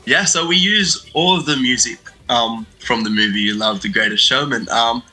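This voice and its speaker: Very bright young australian man. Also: medium pitch, moderate pace, soft background music, poor audio. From Sydney, Australia. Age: 20s. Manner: friendly, loose, many fillers. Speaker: stage actor and dancer, guest. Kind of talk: interview answers. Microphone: video call.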